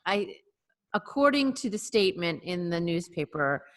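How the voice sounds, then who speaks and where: A woman co-hosting a casual chat over a video call, agreeable and quick to back others up. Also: on the low side, very animated. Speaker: co-host.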